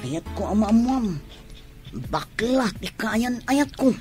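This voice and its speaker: A woman's voice: on the high side, lively intonation, slow pace, a little mellow, faint music behind. A woman with an Ilocano accent playing a pleading, insistent young person planning a wedding, in a radio drama.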